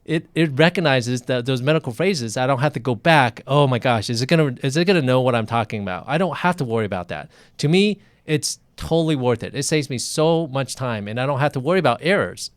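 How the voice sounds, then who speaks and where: A man's voice: medium pitch, expressive, brisk, hardly any pauses, no echo, clean studio sound. A man hosting a casual live video interview, talking enthusiastically with many restarts and fillers. English is not his first language.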